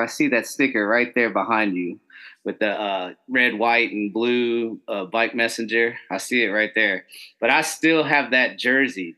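A man hosting a casual podcast interview over a video call, excitable and slangy, saying dude a lot. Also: mid-range voice, rough recording. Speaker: podcast host.

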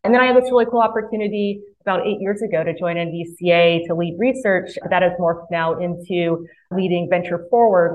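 A woman's voice: on the low side, a little mellow. A woman in her thirties from Mississippi, a podcast guest talking earnestly about her career with frequent restarts. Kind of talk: podcast interview. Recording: tinny phone-like line.

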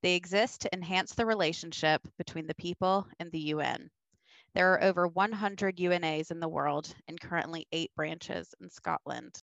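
Lady co-host, kinda low voice, dry close sound, explains stuff clearly. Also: normal speed, a bit bright, no echo. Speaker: podcast co-host. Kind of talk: scripted explanation and questions.

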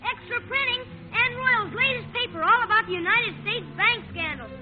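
Extremely high-pitched news hawker. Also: female voice, moderate pace, faint music behind. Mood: urgent, hawking. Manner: calling out, repetitive sing-out. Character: shrill street news vendor hawking papers. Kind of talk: old-time radio drama acting. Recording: dull old radio recording.

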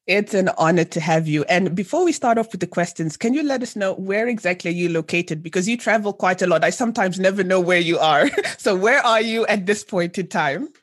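A woman's voice: on the low side, lively intonation, fairly quick, few pauses. A woman from Zambia hosting a podcast, chatty and enthusiastic, with many fillers as she asks questions. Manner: warm, enthusiastic, many fillers like "you know". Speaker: podcast host. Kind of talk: casual interview chat.

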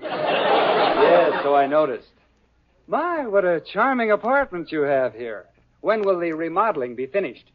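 A suave, courteous gentleman caller. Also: a little high, big pitch swings, a little slow, long pauses. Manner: smooth, courteous, formal. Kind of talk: 1940s radio sitcom acting. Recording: dull old radio broadcast.

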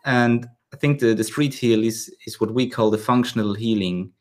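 Man, mid-range pitch, German accent, native German speaker, hesitant, word-searching, repeated sentence starts, casual podcast host. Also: normal speed, a little mellow. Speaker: podcast host, dog trainer. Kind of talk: casual interview.

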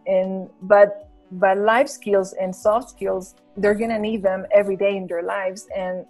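A woman's voice: medium pitch, level tone, unhurried, darkish tone, faint music behind. Woman, guest on a podcast, earnest, lots of um and you know, mid voice. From Bolivia. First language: Spanish. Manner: earnest, many fillers and restarts, laughs easily. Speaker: guest, language school founder. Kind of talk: casual interview chat.

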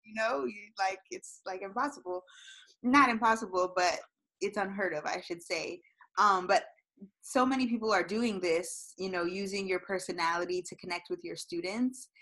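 A young adult woman teacher from Florida talks through her work in a relaxed interview. She laughs often and keeps dropping "like" between her thoughts. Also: mid-range voice, moderate pace, rough recording. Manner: chatty, laughs often, many "like" fillers. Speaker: teacher, guest. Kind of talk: casual interview chat.

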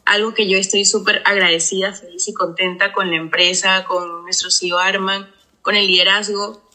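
Woman, 20s, bright tone, enthusiastic, polite caller. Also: medium pitch, level tone, normal speed. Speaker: caller asking a question. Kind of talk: asking a question on a group call.

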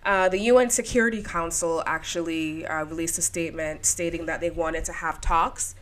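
A young woman co-hosting a casual podcast, animated and quick to laugh. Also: deep voice. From Jamaica. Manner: animated, laughs often, sings playfully. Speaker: podcast co-host. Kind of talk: casual chat.

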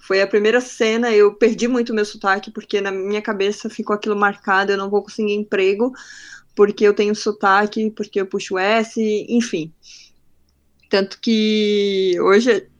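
A woman's voice: medium pitch, level tone, moderate pace. A woman from northern Brazil with a faint northern Brazilian accent, a guest on a podcast who tells her stories in casual talk and acts out the people she quotes. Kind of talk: casual interview chat.

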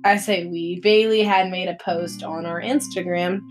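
A woman, co-hosting a faith podcast in casual chat, earnest and halting with many restarts. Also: on the low side, steady tone, faint music behind. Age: 20s. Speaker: podcast co-host.

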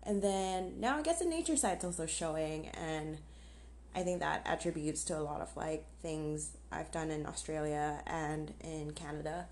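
Casual young woman, slightly low voice. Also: moderate pace, darkish tone, a little hiss or hum. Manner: candid, giggly, informal. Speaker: podcast host. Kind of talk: casual solo podcast chat. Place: outdoors on a patio.

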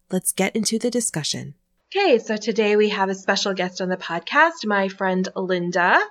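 Friendly young American woman hosting a casual podcast chat. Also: medium pitch. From United States. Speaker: podcast host.

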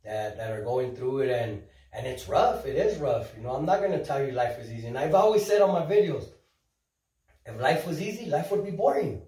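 A man's voice: mid-range voice, brisk. Upbeat man in his thirties, roomy sound. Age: 30s. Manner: upbeat and animated, acts out quoted voices, drops in Spanish words. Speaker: podcast guest, social media influencer. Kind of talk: casual chat. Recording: roomy, mic at a distance.

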